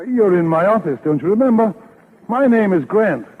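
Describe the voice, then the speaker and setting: An actor playing an earnest, fussy lawyer in 1930s radio comedy, speaking formally and carefully. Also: male voice, high voice, lively intonation, normal speed, slightly rough. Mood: concerned. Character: earnest, fussy male lawyer breaking news gently. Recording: muffled old 1930s radio recording.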